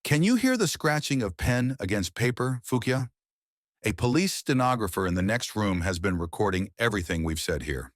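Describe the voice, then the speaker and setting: A man voicing a shrewd, confident male detective who questions in a sharp, probing, sarcastic way, in an audiobook. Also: lowish voice, sing-song, moderate pace, no echo, clean studio sound, pitched above the performer's own voice. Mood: calm, triumphant. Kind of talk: audiobook character voice.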